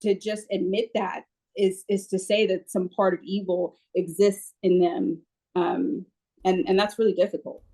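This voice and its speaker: A woman's voice: medium pitch, level tone, measured pace, warm tone. A woman in a casual, reflective discussion, phrasing her thoughts tentatively and with care. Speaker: discussion participant.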